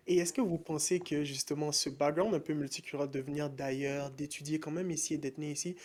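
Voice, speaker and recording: A man with a fairly high voice interviews a guest as a podcast host. He is conversational yet courteous and formal, building up long questions. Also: darkish tone. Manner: polite, formal address.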